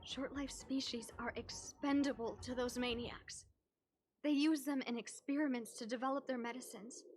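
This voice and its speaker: A woman's voice: sits high, fairly even intonation, moderate pace, darkish tone, a little hiss or hum, close to an everyday voice. A woman voicing an ailing, earnest young woman in a video game, desperate to find her sweetheart. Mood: regretful.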